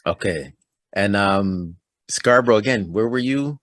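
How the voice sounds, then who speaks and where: Lively man hosting an interview. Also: fairly low pitch, a little slow, dry recording, clean studio sound. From Toronto, Canada. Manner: upbeat, chatty, laughs easily. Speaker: podcast host. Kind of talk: casual interview.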